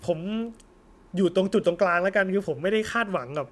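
A man's voice: high voice, fairly quick. A young man chatting casually and informally as co-host of an anime discussion. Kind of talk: casual post-film chat.

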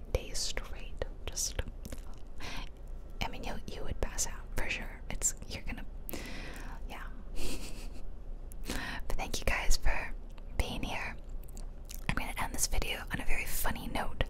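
Female ASMR gamer, whispered close-mic delivery, chatty and informal, rambling gameplay commentary. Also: poor audio. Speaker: video game streamer, ASMR host. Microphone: close microphone, ear-to-ear whispering.

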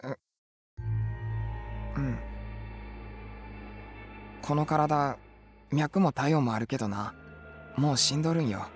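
A man acts out a clingy, artless teenage boy from a horror voice comic. His Mie dialect is casual and youthful at a medium pitch, with soft music underneath. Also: slow, long pauses, lightly acted. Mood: earnest confession. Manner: casual, boyish, guileless. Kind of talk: voice comic acting. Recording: faint music and insects behind.

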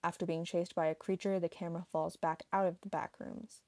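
Woman doing video explainer voiceover, kinda low and relaxed, very dry sound. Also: fairly quick, no echo. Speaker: narrator of an online video. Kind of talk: scripted explainer narration.

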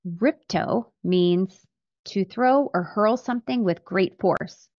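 A woman's voice: mid-range voice, slow, a little mellow, no echo, highs cut off. Dry, close-miked woman podcaster. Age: middle-aged. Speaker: podcast host. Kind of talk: faith-based podcast monologue, teaching scripture. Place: small home office with bookshelves, indoors. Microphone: close studio microphone on boom arm.

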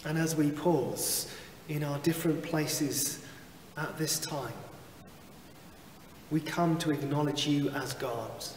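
A man in his thirties leading worship as a chaplain, reading psalms and prayers in a slow, measured liturgical way, recorded in a small chapel from a camera at a distance. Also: a little high, darkish tone, roomy sound, in a large hall, a little hiss or hum. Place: small chapel with wood-panelled wall. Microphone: far camera, seated behind a lectern.

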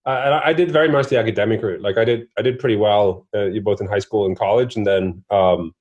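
Male interview guest, forties, from Norway. Casual, rambling and self-deprecating, with a lowish, husky voice. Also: fast, darkish tone, muffled recording. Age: 40s. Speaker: interview guest, startup investor.

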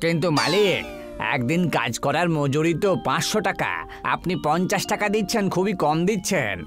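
A man's voice: a little high, expressive, fairly quick, close to an everyday voice. A man voicing a stubborn, indignant poor village farmer in a cartoon, insistent and protesting. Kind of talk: cartoon dubbing. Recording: music behind the voice.

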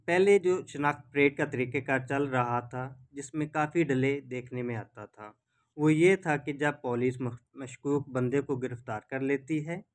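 A man with a Pakistani accent giving formal, instructional narration as a legal lecturer. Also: a little high. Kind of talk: scripted explanatory narration.

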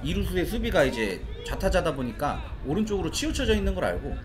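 High, very animated male baseball analyst. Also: fast, music in the background. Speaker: color commentator. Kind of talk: conversational live baseball analysis.

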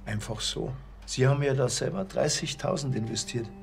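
Creaky, sardonic Bavarian policeman, played by a man. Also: medium pitch, normal speed, faint music behind, close to an everyday voice. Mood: probing. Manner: dry, grumbling sarcasm. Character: dry, sardonic male small-town Bavarian police officer.

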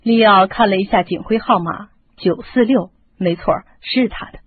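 A woman's voice: medium pitch, normal speed, breathy edge, no echo. A woman reading scripted narration as the narrator of a radio mystery drama. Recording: muffled lo-fi radio drama, music behind.

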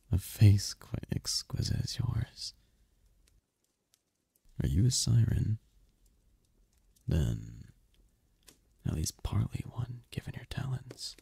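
A man playing a seductive, imperious demon prince in an ASMR romance roleplay, teasing and possessive, with a husky, slow, theatrical purr. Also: on the low side, warm tone, no echo, exaggerated voice. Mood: intrigued. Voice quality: gravelly, husky, often breathy whisper. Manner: slow, purring, theatrical, commanding.

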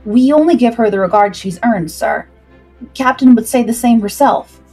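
A woman's voice: a little high, expressive, normal speed, warm tone, breathy edge. A woman voicing a wary, loyal sixteen-year-old cabin boy in a pirate story, plain-spoken and guarded. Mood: wary, polite. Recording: music behind the voice.

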